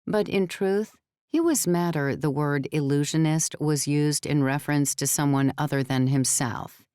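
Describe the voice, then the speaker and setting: A woman narrating scripted fiction as an audiobook, in a measured storytelling manner. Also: deep voice, mellow, smooth, dry recording, studio quality.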